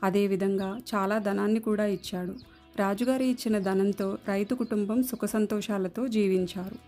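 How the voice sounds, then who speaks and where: A woman's voice: mid-range voice, fairly even intonation, normal speed, mellow. A woman reading scripted narration for a moral story. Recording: music under the voice.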